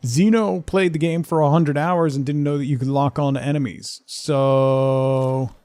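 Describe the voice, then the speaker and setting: A man's voice: a little high, fairly even intonation, a little slow, darkish tone, smooth, no room sound. A man giving casual live game commentary, playfully muttering asides and short exclamations as he chats to viewers. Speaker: game streamer.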